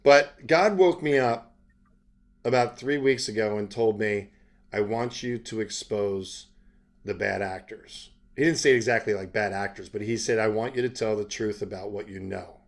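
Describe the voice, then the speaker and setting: A man's voice: on the low side, moderate pace. Casual, rambling male interviewer. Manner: informal, conversational, digresses into asides. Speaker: interviewer, self-styled journalist host.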